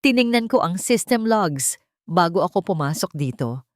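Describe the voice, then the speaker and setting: A woman with a Filipino accent voicing a calm, authoritative, stern male company boss in an audio drama. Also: on the low side, moderate pace, dry recording, studio quality, lightly acted. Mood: calm, grave.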